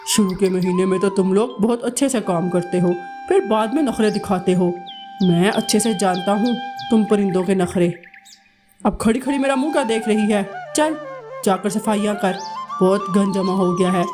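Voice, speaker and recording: A woman's voice: medium pitch, natural voice. A harsh, contemptuous male crow boss, as a woman voices him in a cartoon. He barks orders and scolds in a middling voice while music runs underneath. His talk stays blunt and bossy. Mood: scolding. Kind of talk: cartoon voice acting. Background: background music.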